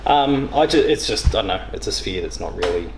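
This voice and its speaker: A man's voice: on the low side, lively intonation, brisk, vocal fry, a little hiss or hum, low-quality recording. A man hosts a casual podcast interview, speaking informally with roundabout phrasing.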